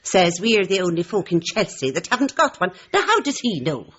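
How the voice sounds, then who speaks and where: A woman's voice: fairly low pitch, big pitch swings, brisk, fairly bright tone, highs cut off, slight background noise. A woman playing a chatty, bossy, motherly older housekeeper in a radio drama, fussing and indignant. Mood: indignant, gossipy.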